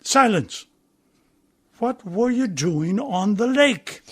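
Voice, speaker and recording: An actor playing a stern, pompous naval officer in a radio play, questioning in a formal, commanding way. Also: male voice, high-pitched, expressive, slow pace, husky, heavily acted.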